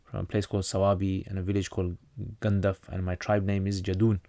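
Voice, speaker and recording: Low-voiced man from North Pakistan. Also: completely flat delivery, fast, warm tone, no echo. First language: Pashto. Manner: reads out questions then answers plainly, with frequent restarts. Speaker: channel host answering viewer questions. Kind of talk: casual livestream Q&A.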